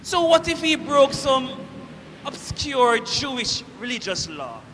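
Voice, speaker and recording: Man acting a very high-pitched, shaken Roman centurion. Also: big pitch swings, bright, forceful tone, slight background noise, exaggerated voice. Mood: bewildered. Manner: declamatory, deliberate, dramatic pauses. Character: proud Roman centurion, commanding yet shaken by guilt and fear. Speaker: actor performing a dramatic monologue. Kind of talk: theatrical church monologue. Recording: echoey hall with long reverb.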